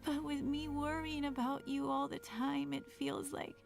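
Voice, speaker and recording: A woman voicing a tender, self-blaming younger sibling in a video game drama, weak and resigned, with halting, sighing delivery. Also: high voice, little pitch movement, gravelly, faint music behind. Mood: sorrowful, tender.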